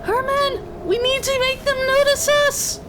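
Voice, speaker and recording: A woman's voice: very high voice, steady tone, unhurried. A woman voicing a tiny, plucky female gnome adventurer in an urgent, exclamatory manner, in an audio story. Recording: loud noise behind the voice.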